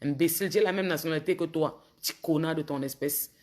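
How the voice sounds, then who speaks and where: A woman with a cold, a bit hoarse, talking casually to viewers on a phone livestream and scolding commenters with indignation. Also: deep voice, fast, darkish tone. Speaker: social media livestreamer.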